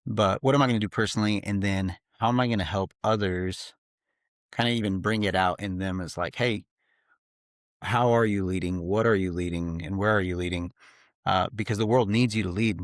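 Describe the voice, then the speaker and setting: A man's voice: deep voice, fairly even intonation, brisk, no echo, clean studio sound. A man, a podcast guest talking earnestly in his home office, with many fillers. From grew up in Slovakia. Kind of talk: casual interview talk.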